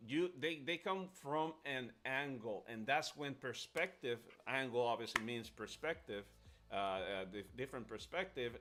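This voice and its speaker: A man's voice: medium pitch, a bit bright. A man chatting casually and giving strong opinions as host of a live stream, emphatic and often repeating himself. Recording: music under the voice. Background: music.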